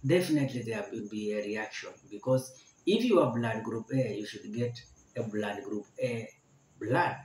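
A man in his forties teaching a health lesson to camera, slowly repeating each point to explain it. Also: lowish voice, a little mellow, a little hiss or hum. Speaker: medical educator. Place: indoor room with barred window. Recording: echoey room.

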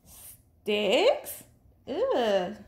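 A woman's voice: on the high side, huge pitch swings, extremely slow, noisy background, heavily acted. A woman voicing a hungry, picky little male bird in a storybook, complaining melodramatically. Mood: disgusted, complaining.

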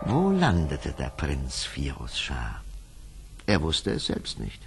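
A man's voice: rumbling low, wildly swooping pitch, slow pace, vocal fry, faint music behind. A man narrating a fairy-tale radio play in a scripted, dramatic storytelling manner.

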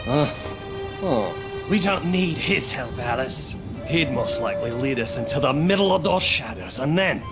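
A man's voice: mid-range voice, expressive, normal speed, over loud music, lightly acted. A man voicing an eccentric, distrustful hatter in a video game, with a dramatic, wary delivery. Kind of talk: video game character acting.

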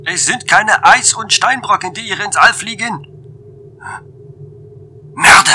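Man playing an alarmed, high-voiced space station leader. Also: takes it slowly, sharp tone, a little hiss or hum, full character voice. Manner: agitated, breaking off mid-thought. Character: alarmed French male station crew leader. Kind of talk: audio drama acting.

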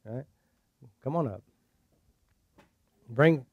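A man's voice: mid-range voice, very slow, husky, no room sound, phone-quality sound. Middle-aged man leading a live seminar. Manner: joking, repeats words, directive. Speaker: seminar leader. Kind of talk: coaching a volunteer in front of a group.

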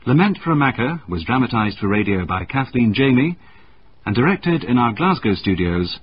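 A man's voice: mid-range voice, big pitch swings, moderate pace. A man reading out scripted credits as a radio drama announcer. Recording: muffled radio broadcast, faint noise.

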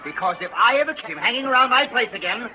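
An indignant, rapid storekeeper. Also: male voice, extremely high pitch, expressive, over music. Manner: indignant, self-righteous. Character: stern self-righteous middle-aged male storekeeper. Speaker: actor in radio drama. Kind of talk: 1930s radio acting. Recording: muffled 1930s radio recording.

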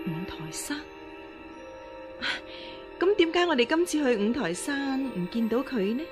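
Regal scheming royal mother, Hong Kong Cantonese radio drama; dismissive, composed, higher-pitched. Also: lively intonation, a little slow, over music. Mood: indulgent, probing. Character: haughty, scheming empress dowager, mother of a princess.

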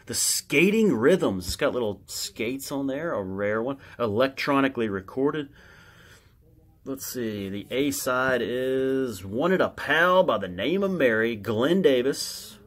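A man giving casual, unscripted show-and-tell commentary as a collector, halting and muttering to himself as he reads aloud. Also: medium pitch, animated. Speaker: record collector showing his records.

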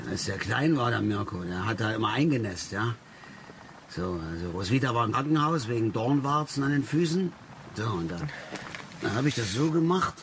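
An actor playing a gruff, stubborn middle-aged father and angler in a radio drama, talking colloquially and haltingly. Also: medium pitch, lively intonation, lightly acted. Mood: defensive. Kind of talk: German radio drama acting. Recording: noisy outdoor ambience behind the voice.

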